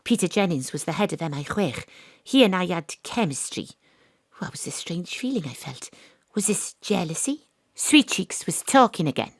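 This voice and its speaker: An actress playing a wry, sceptical Welsh private detective in a noir-parody audio drama, with deadpan asides. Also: female voice, on the low side, moderate pace, no echo. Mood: wry, suspicious.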